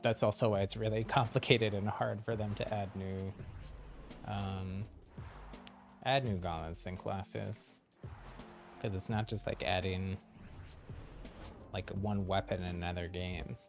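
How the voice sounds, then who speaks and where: A man's voice: low voice, steady tone, measured pace, pauses often. A man doing casual live game commentary, thinking aloud and chatting with viewers in an easygoing way. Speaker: game streamer. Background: game music.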